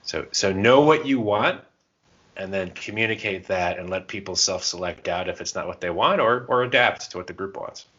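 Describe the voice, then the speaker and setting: A man with a very low voice talks casually as a podcast co-host. He explains things informally, slipping into little asides and stories. The sound is somewhat dull and lo-fi. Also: normal speed, pushed and bright. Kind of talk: casual chat giving gaming advice. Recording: dull, muffled lo-fi podcast audio.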